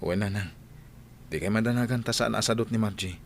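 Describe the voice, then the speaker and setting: A man's voice: fairly low pitch, a little fast, creaky, a little hiss or hum. An actor playing a dutiful young man in a radio drama, earnest and placating in manner. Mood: placating, reassuring. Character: dutiful young man, obedient to his mother.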